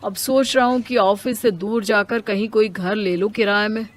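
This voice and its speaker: A woman voicing a put-upon, evasive young city husband in an animated story. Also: mid-range voice, normal speed, lightly acted. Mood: worried. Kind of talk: animated storybook character acting.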